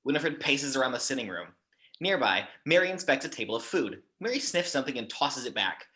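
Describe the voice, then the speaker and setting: A man's voice: mid-range voice, very animated, fairly quick, sharp tone. A man narrating action descriptions in a scripted table read.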